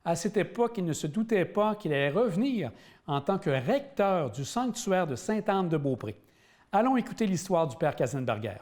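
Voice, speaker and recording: Man, host, very high voice, hesitant, filler-heavy questions. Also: very animated, moderate pace, a little mellow. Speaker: interviewer and host. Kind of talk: community TV interview.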